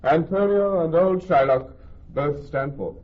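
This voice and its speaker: An actor playing a grave, authoritative ruler presiding over a court in Shakespearean radio drama, formal and measured. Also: male voice, on the high side. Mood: formal, commanding. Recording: thin, phone-like old radio recording.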